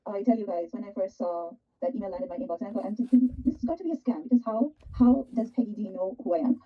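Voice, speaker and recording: A woman from Pakistan with a Punjabi accent, teaching as a guest on a live online art class, effusive and quick. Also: medium pitch, husky, phone-quality sound. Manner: excitable, fast and effusive. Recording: roomy, distant live-stream audio.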